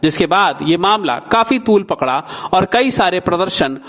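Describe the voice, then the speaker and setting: A man's voice: sits high, animated, moderate pace, a bit bright. A man explaining background as a news reporter.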